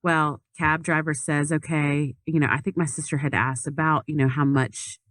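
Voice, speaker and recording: A woman's voice: deep voice, little pitch movement, normal speed, fairly bright tone, no echo. A woman recounting a trip in loose, chatty podcast talk. She circles back, restarts her sentences and fills gaps with ums. The mic is close and dry, with no room sound. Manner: rambling storytelling with many fillers and restarts. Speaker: podcast guest telling a story. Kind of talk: casual chat.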